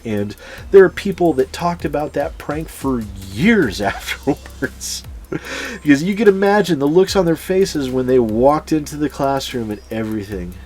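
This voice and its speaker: A man telling personal anecdotes casually as a channel host, swearing freely and chuckling as he goes. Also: mid-range voice. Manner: sweary, chuckles through his stories. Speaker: YouTube storyteller and channel host.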